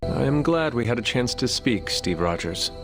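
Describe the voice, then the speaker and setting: A man playing a wary ancient warrior in a video game, speaking formally and in a measured, stilted way. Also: medium pitch, very animated, over music. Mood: curious, courteous. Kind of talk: cinematic video game dialogue.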